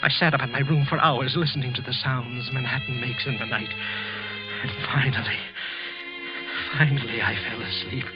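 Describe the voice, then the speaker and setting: An actor playing a frightened, guilt-ridden office clerk confessing in a halting 1940s radio suspense narration. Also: male voice, medium pitch, steady tone, loud music behind the voice, natural voice. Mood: brooding. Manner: tense, halting, repeating himself. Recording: muffled old radio recording.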